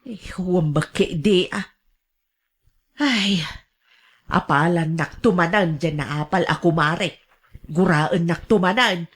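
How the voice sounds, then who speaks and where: A woman's voice: on the low side, takes it slowly, long pauses, slightly rough. A woman playing an older, quarrelsome mother in a radio drama, aggrieved and defensive, blaming everyone around her. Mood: resentful grumbling. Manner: querulous, defensive. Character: aggrieved, quarrelsome gambling mother who blames her relatives.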